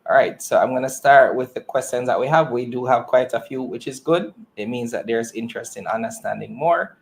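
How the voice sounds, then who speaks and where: A man's voice: medium pitch, a little fast, creaky. A man moderating an earnings call in a conversational business style. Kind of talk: earnings call question hosting.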